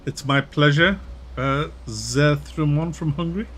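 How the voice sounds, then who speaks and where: A man with a Wearside Mackem accent from near Durham, casually chatting with viewers during a game stream, relaxed and chatty, often laughing and trailing off. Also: on the high side, measured pace, a little hiss or hum. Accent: Wearside (Mackem) North East English. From North East England, near Durham. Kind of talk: casual live-stream chat reading viewer comments.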